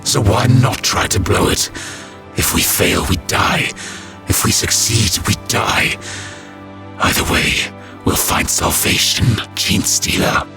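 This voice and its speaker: Gravelly, vengeful alien warrior. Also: male voice, takes it slowly, over music. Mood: solemn, goading. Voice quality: harsh, low, gravelly. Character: grim vengeful alien reptilian warrior, last of his dying race. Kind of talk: dramatic audio story acting.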